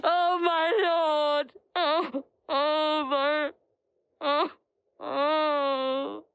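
A woman's voice: high-pitched, flat delivery, very slow, fairly bright tone, highs cut off, exaggerated voice. An actress playing a grieving young woman disguised as a faithful page boy in a Shakespearean audio drama, speaking verse in a plaintive, earnest way. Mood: anguished.

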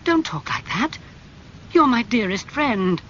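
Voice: medium pitch, lively intonation, takes it slowly, a bit bright, dull audio, slight background noise, pitched above the performer's own voice. A reader doing an earnest, wounded painter.

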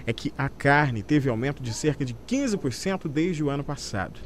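A man's voice: mid-range voice, expressive, moderate pace, a little hiss or hum. A man with a Brazilian accent narrating a scripted TV news report.

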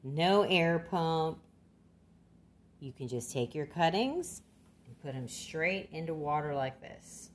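A woman's voice: deep voice, expressive, slow, slight background noise. An older woman giving a casual, plain-spoken how-to demonstration, recorded indoors in a kitchen. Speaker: gardening demonstrator.

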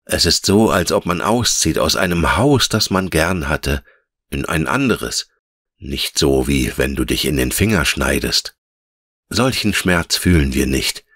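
A man voicing a wise, gentle female elf in a fairy-tale audiobook, speaking in a measured, patient and tender way. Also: a bass voice, expressive, dry recording, studio quality, heavily acted. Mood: gentle, explaining. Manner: measured, patient, lyrical explaining.

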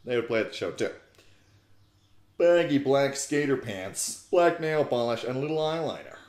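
Narrator: man, twenties, mid-pitched, theatrical and mock-serious storytelling, recorded at home with audible room. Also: expressive, moderate pace, darkish tone, roomy sound. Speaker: narrator, YouTube host. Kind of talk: theatrical comic fan fiction reading. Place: small living room, indoors. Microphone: desktop USB microphone close by.

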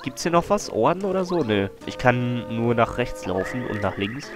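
A slightly sick man casually commenting on a game he is playing, low-key and offhand, mumbling now and then. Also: big pitch swings, moderate pace. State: a little sick. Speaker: let's play host. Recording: faint game music behind.

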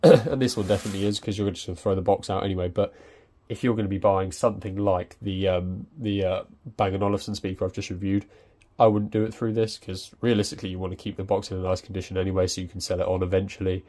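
A man's voice: low voice, fairly even intonation, fast talker, hardly any pauses, dark tone. A young man talking casually through an unboxing at his desk, hesitant with many ums and restarts. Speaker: tech reviewer. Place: indoors at a desk.

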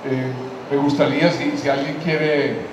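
A man moderates a public talk, calmly summarizing points and inviting questions from the audience. His voice comes from far off in a big, echoing hall with long reverb and clear background noise. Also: a little high, measured pace.

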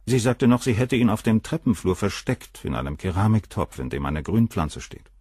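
Proud surgeon, deep husky voice, composed, condescending, articulate, crime radio play. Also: male voice, normal speed, darkish tone, dry recording, studio quality. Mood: composed, matter-of-fact. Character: proud, coldly condescending married male professor and surgeon. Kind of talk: German radio crime drama acting.

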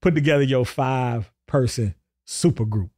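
A man's voice: mid-range voice, moderate pace, dark tone, no room sound, studio quality. A man co-hosting a podcast in casual, slangy, swearing chat, often jumping in with interjections. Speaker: podcast co-host. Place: indoor podcast studio. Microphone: close studio microphone on boom arm.

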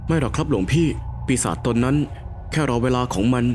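A man playing a devout, steadfast young monk in an animated horror drama, polite and earnest. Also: medium pitch, brisk, a little hiss or hum, close to an everyday voice. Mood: assured. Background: background music.

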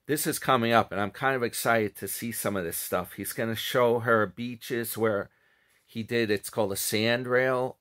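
Middle-aged male vlogger, lowish voice, chatty and wandering, close webcam sound. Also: fairly bright tone. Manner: rambling, self-correcting, chatty. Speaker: commentary vlogger. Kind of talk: casual commentary on another channel's videos. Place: small room at home. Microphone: webcam or phone close up.

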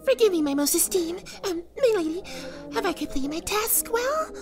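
A woman voicing an eager, fawning female imp servant in a very high, excitable voice. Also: normal speed, over music, heavily acted. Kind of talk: ASMR roleplay audio drama.

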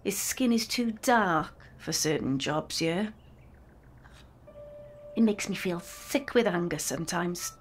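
Gentle young period mother. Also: on the low side, lively intonation, takes it slowly, long pauses, soft background music. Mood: earnest. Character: young mother, devoted, proud, weary of prejudice. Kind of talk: period audio drama acting.

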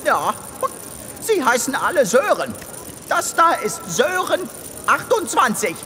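A woman's voice: high voice, big pitch swings, slow pace, bright, forceful tone, a little hiss or hum, full character voice. A woman playing an indignant, scornful alien crusader in a comedy science fiction audio drama. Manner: scornful, emphatic. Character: indignant female alien assistant, crusading against cruel factory.

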